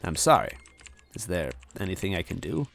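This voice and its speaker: A man's voice: low-pitched, sing-song, moderate pace, gravelly, fairly bright tone, faint music behind, full character voice. A man voicing a kind, anxious story hero looking for his brother, hesitant and earnest. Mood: gentle.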